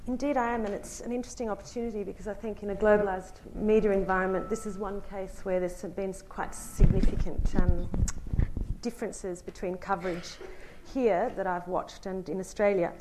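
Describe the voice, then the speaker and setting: A woman from Italy, a newspaper correspondent, giving a prepared panel talk in an articulate, measured way with dry wit. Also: mid-range voice, fairly even intonation, warm tone, a little hiss or hum, rough recording.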